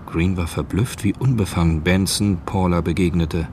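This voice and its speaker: A man's voice: deep voice, normal speed, a little mellow, a little hiss or hum. A man narrating a radio drama in a scripted, literary storytelling style. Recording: music behind the voice. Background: music.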